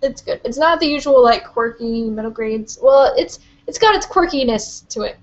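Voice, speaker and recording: A young woman hosting a live book Q&A from her webcam, chatty and rambling with lots of "like" and "um", her voice a little hoarse. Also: on the high side, normal speed, highs cut off. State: slightly hoarse, losing her voice. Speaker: book vlogger. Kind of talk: live-stream Q&A.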